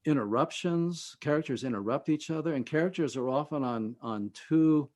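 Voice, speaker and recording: A man speaking as an interview guest and teacher, explaining things in a measured way with pauses between phrases. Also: on the high side, darkish tone. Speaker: podcast guest, screenwriting teacher. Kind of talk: conversational expert interview.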